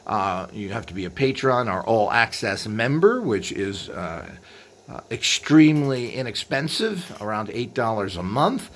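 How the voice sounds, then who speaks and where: A man hosting a video with an unscripted explanatory monologue, rambling and halting as he trails into asides. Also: fairly low pitch, very animated. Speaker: video host. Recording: clearly audible background noise.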